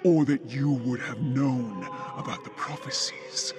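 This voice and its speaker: A man's voice: mid-range voice, a little slow, over music, close to an everyday voice. An actor playing a fanatical cult herald in a fantasy game, preaching devotion to his lady in grand, zealous oratory. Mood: indignant, zealous. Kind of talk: dramatic fantasy game voice acting.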